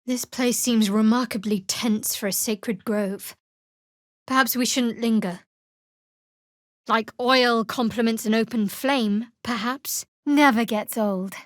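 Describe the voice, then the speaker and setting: A young woman cleric in a fantasy video game, sworn to a dark goddess. She is guarded and wry, with measured, sardonic delivery in a fairly high register. The recording is close and free of room sound. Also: fairly even intonation, no room sound. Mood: guarded, reflective. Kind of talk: video game character dialogue.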